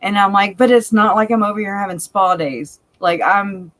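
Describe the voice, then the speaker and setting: A woman's voice: mid-range voice, moderate pace, a bit bright. A woman with a country-sounding Cajun accent, co-hosting a casual live chat, talking candidly and laughing often. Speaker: livestream co-host.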